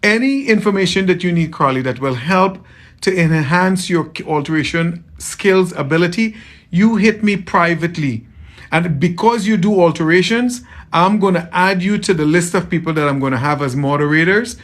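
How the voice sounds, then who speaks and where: A man from Trinidad with a softened Trinidadian accent, chatting casually with viewers on a livestream as a tailor, friendly and often repeating himself. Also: high voice, normal speed. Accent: Trinidadian, softened toward American. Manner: friendly, repeats phrases, greets viewers by name.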